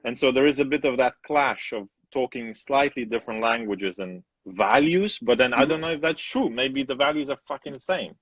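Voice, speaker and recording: A man hosting a casual video chat speaks bluntly and swears freely. His voice sits at a middle pitch. The sound is dry and a little muffled. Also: lively intonation, normal speed, hardly any pauses, husky, dry recording. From former Soviet Union. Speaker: host cinematographer. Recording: dry, slightly dull sound.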